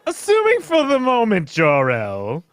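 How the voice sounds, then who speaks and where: Man playing a high-voiced, pompous council chairman. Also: huge pitch swings, measured pace, a bit bright, dry recording, heavily acted. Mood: placating, dismissive. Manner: declamatory, pompous. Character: pompous council chairman calling for order, dismissive. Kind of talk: old-time radio drama acting.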